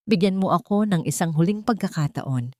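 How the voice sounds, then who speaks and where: Lovestruck CEO played by a woman, heartfelt and pleading, lowish voice, romance audio drama. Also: animated, fairly quick, a little mellow, no room sound, clean studio sound, natural voice. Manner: earnest, emotional pleading.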